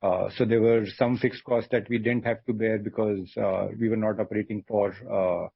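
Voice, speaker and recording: Husky, low-voiced Indian male executive. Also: little pitch movement, normal speed, no echo. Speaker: company executive on an earnings call. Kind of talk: answering analyst questions. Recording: dull, lo-fi conference call audio.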